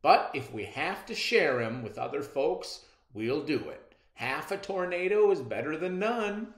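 A man's voice: a little high, sing-song, measured pace, pitched above the performer's own voice. A man voicing an easygoing, plain-spoken country father in a storybook, with folksy phrasing. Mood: reassuring. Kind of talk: storybook character reading. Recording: roomy echo.

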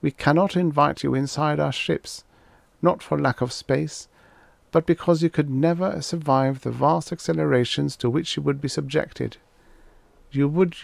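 A solemn alien intelligence acted out by a man speaks in a raised, high-pitched voice, as if through a child. It makes grave, formal announcements in measured phrases, in the manner of a science fiction audiobook. The sound is close and dry. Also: creaky, a little mellow, no room sound. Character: solemn alien mind speaking telepathically through a little girl.

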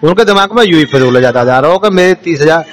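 A man with a rural western Uttar Pradesh accent, his voice a little high, speaks in a placating and repetitive way. It is an informal recorded phone call with music playing behind. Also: lively intonation, fast talker. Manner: placating, repetitive, murmured agreement. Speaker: village head on a phone call.

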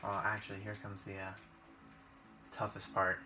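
A man's voice: on the low side, monotone, normal speed, background noise. A man giving casual, informal gameplay commentary, thinking aloud as he plays. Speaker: gaming video creator. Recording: game music behind the voice. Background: video game music.

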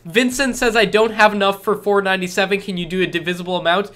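A man's voice: high-pitched, steady tone, fast, a bit bright. A young man with a stuffed-up cold, reading chat questions aloud and answering them in an upbeat, salesy way during a live stream from a small room. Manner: upbeat, repetitive salesy reassurances. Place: small room with lit backdrop.

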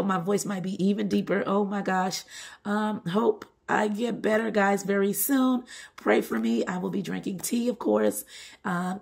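A middle-aged woman with a groggy, hoarse voice from being sick, chatting casually as she hosts her home budgeting vlog. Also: mid-range voice, a little mellow. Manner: chatty, repeats "all right" and "okay". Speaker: budgeting channel host. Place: home, table-top filming.

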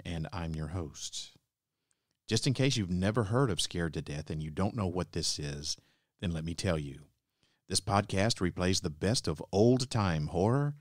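A man hosting a podcast, reading a scripted introduction to old radio plays in measured phrases. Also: sits low, no room sound, studio quality. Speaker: podcast host.

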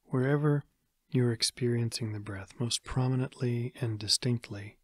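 An American man guides a meditation in a calm, measured manner with long pauses. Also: medium pitch, breathy edge, no echo, studio quality. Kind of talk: guided body scan meditation.

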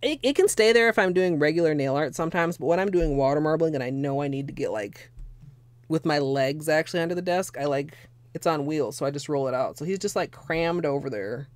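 Hoarse young woman, rambling livestream chatter, dry close sound. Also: low voice, animated, brisk, no echo. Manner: chatty, rambling, many "like" fillers. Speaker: nail art livestreamer. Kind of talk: casual livestream tutorial. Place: small home room with space heater.

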